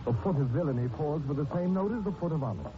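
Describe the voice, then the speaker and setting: An actor playing a sinister, taunting narrator who savors murder in a 1940s radio thriller. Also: male voice, on the high side, lively intonation, lightly acted. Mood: ominous, taunting. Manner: deliberate, ominous, taunting address. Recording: muffled 1940s radio recording, loud music.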